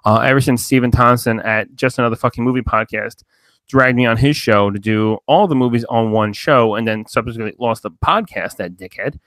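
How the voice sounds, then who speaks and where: A man's voice: mid-range voice, a little fast, fairly bright tone, dry recording. A man from New Jersey hosting a podcast, rambling in loose casual chat with lots of fillers and swearing. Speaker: podcast host.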